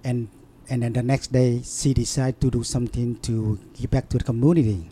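A man in an interview, telling a story in halting, broken English with many fillers and restarts. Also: mid-range voice, steady tone, warm tone, breathy edge. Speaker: interview guest. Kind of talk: casual storytelling.

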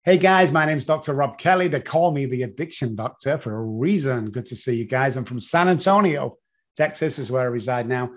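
A middle-aged man with a Manchester accent, a guest telling his life story in an animated, rambling way with many fillers. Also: mid-range voice, brisk. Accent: Mancunian English. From Manchester, England. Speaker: guest, addiction consultant. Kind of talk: conversational storytelling.

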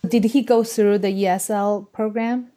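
A woman's voice: medium pitch, fairly even intonation, moderate pace, dark tone. A woman hosting an interview, listening attentively and asking short questions. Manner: attentive, brief encouraging replies. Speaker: interviewer host. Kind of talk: interview podcast.

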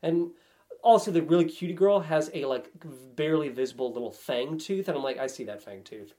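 A man's voice: fairly high pitch, fairly quick, mellow. A man chatting casually as a podcast guest, with a wry, joking way of talking. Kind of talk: casual chat about video games.

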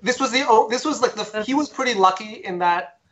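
A man's voice: high-pitched, brisk, a bit bright. A man co-hosting a podcast, talking in a rambling, sarcastic and sweary way. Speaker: podcast co-host. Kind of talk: casual opinionated chat.

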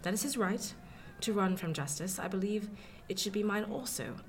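Actress as a defiant young gentlewoman over noisy ambience. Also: mid-range voice, animated, normal speed, natural voice. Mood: indignant, insistent. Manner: formal, emphatic period diction. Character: defiant well-born eighteen-year-old woman, formal, bitter, resolute. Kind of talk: period radio drama acting. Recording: clearly noisy, ambient sound behind.

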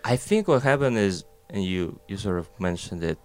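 A man's voice: low-pitched, animated, moderate pace, a little mellow, clean tone, faint music behind. A young man with a Russian accent, chatting casually and rambling through his thoughts with many fillers and self-deprecating jokes. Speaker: animator guest. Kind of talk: casual conversation.

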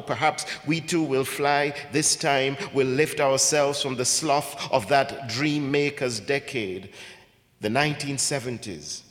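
A poet from Ghana, a man, reading his poems in a measured, deliberate way, with hesitant spoken asides between them. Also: mid-range voice, a bit bright. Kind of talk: poetry reading with spoken introductions. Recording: long hall reverb.

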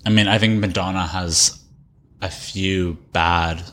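A man's voice: low voice, fairly even intonation, takes it slowly, fairly bright tone, slight background noise. A man co-hosting a casual music discussion in a hedging, conversational manner. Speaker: podcast co-host.

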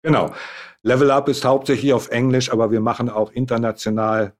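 Ein Mann, der als Gastgeber eine Online-Panel-Fragerunde moderiert und die Gäste förmlich und gemessen vorstellt. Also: male voice, medium pitch, little pitch movement. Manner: introduces guests in a measured, formal tone. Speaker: host.

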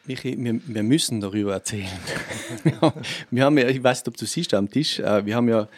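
A man with a Tyrolean Austrian accent talks casually as an interview guest. His voice sits at a middle pitch and is recorded very dry. He tells things as anecdotes, slipping into quoted speech as he goes. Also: quick pace, dry recording. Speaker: musician, podcast guest.

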